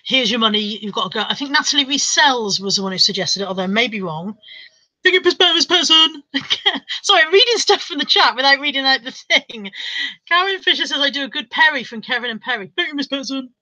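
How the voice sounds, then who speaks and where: A woman's voice: high-pitched, lively intonation, a little fast, pushed and bright, dry recording, poor audio. A British woman chatting casually on a live stream, reading out viewer comments and rambling in reply.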